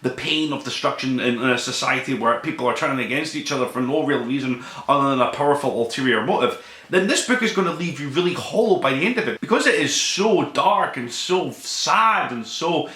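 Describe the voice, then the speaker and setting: A man hosting a solo podcast book review, speaking conversationally in an earnest, emphatic way with dramatic pauses. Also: medium pitch, expressive, pushed and bright. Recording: roomy, echoing room sound.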